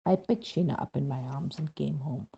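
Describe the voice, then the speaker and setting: A reader narrating a devotional testimony from a script, with a husky, gravelly voice and a dramatic, emphatic, deliberately phrased delivery. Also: mid-range voice, mellow, highs cut off.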